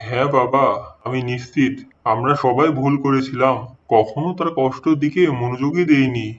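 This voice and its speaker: A man voices a serious, earnest father in a moral cartoon. His mid-pitched delivery is measured and deliberate, and the recording sounds a touch muffled. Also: close to an everyday voice. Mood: resolute. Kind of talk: dramatised moral story dialogue.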